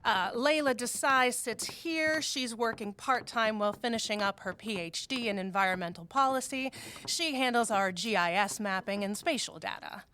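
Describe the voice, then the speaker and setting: A woman running a small office in an audio drama. Her voice sits a little high, and she is businesslike and dry. She sounds like a practical, stretched-thin boss. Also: moderate pace, pushed and bright, a little hiss or hum. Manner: businesslike, dry, matter-of-fact. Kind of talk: scripted audio drama dialogue.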